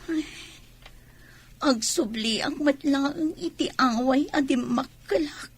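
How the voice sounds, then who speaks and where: A woman's voice: high-pitched, slow. An actress playing an ambitious, regretful young pregnant village woman in an Ilocano radio drama. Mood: defensive.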